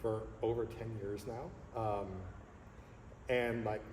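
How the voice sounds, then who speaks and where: A man in his thirties, a songleader talking earnestly and reflectively as a guest in a casual interview, pausing often to find his words. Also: fairly low pitch, little pitch movement, a little mellow. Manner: earnest, reflective, halting with fillers. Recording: echoey hall with faint noise.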